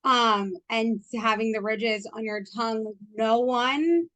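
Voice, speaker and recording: A woman podcast co-host jumps in with playful, rambling thoughts, correcting and interrupting herself mid-sentence. Her voice sits somewhat high. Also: takes it slowly, sharp tone, dry recording, poor audio. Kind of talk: casual chat.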